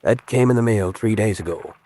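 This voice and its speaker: An actor playing a desperate, hunted American spy in a radio drama, pleading with urgent intensity. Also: male voice, fairly low pitch, fairly quick, warm tone, lightly acted. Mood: grave.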